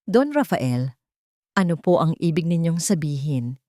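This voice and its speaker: A woman's voice: low voice, sing-song, measured pace, mellow, dry recording, clean studio sound. A woman voicing a poor young housemaid who pleads and cries out desperately. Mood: timid.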